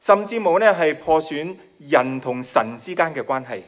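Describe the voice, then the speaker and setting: A man's voice: a little high, expressive, moderate pace. Hong Kong-accented man preaching in short phrases. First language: Cantonese. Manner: modest, with shy laughs between phrases. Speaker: guest preacher. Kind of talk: sermon delivered in short chunks for an interpreter.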